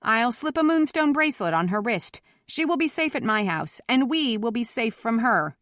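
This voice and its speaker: A woman's voice: fairly high pitch, very animated, moderate pace, fairly bright tone, dry recording, studio quality, pitched above the performer's own voice. A woman voicing an earnest, protective townsman in an audiobook, urgent and firm. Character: earnest protective townsman pleading against an angry mob. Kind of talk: audiobook character voice.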